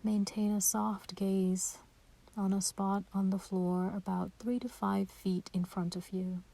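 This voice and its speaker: A woman leading a guided meditation in a soft, breathy voice, gentle and soothing, with unhurried pauses. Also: medium pitch, flat delivery.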